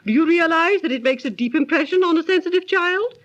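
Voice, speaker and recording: An actress playing a proper, precise, genteel aunt who scolds gently and tells tales, 1930s radio drama style. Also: female voice, very high voice, brisk. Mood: gently reproving. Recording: dull, lo-fi 1930s radio recording.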